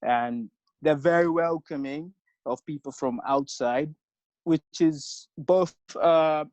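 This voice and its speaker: A man's voice: medium pitch, darkish tone, no echo. A man from Zambia contributing to an online webinar Q&A, hesitant with many fillers. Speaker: webinar attendee. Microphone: video call. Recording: dull lo-fi video call audio.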